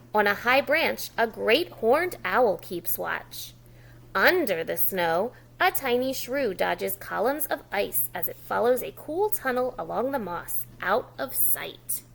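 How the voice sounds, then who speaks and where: Animated woman reading aloud to preschoolers. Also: medium pitch, creaky. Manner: instructional, addressing young children, repeating key words with prompts and praise. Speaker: preschool educator reading a picture book to children. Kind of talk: children's read-aloud lesson with call-and-response prompts.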